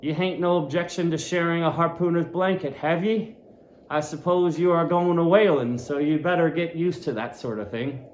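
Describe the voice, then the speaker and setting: A sly, teasing innkeeper with a folksy old-timey dialect, as a man reads him out, pitched above the reader's own voice. The sound is roomy and echoing. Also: normal speed, slight background noise, natural voice. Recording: roomy echo.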